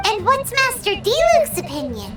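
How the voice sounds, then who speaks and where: Very high, chirpy little fairy companion. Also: female voice, wildly swooping pitch, normal speed, heavily acted. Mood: chirpy, curious. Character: tiny chirpy floating fairy companion, childlike and talkative. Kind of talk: video game voice acting. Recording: loud game music, slightly distant.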